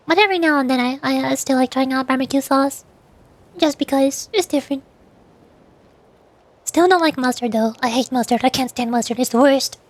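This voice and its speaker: A woman streamer chatting casually while gaming, in a halting way that often repeats words. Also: high voice, dry recording. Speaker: VTuber streamer.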